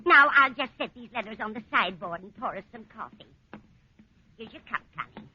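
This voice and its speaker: A woman playing a kindly, absent-minded older landlady in a 1940s radio comedy, with a husky voice and chatty, motherly manner. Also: a little high, lively intonation, a little fast. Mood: fond, chatty. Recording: dull 1940s radio recording.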